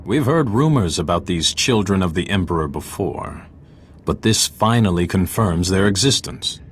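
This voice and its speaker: A solemn sci-fi council master for a video game. The voice is deep and measured, grave yet compassionate, over noticeable ambience. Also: male voice, expressive, a little hiss or hum. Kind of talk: video game cutscene acting.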